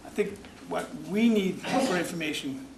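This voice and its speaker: A man's voice: high voice, lively intonation, moderate pace, vocal fry. Folksy man chairing a town meeting. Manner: folksy, rambling, plainspoken. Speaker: commission chairman. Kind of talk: public meeting remarks. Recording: noisy public-access meeting recording.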